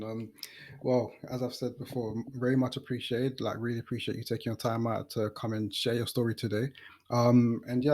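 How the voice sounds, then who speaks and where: Mellow-voiced London man hosting a podcast. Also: medium pitch. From East London, England. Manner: informal, conversational, uses slang. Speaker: podcast host and interviewer. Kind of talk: casual interview chat and podcast introduction.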